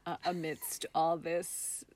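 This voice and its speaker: An American woman with a slightly low voice talks as an interview guest. Her style is casual and reflective. She phrases things thoughtfully, often halting as she finds her words. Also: level tone, a little mellow. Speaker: interview guest, theater artist.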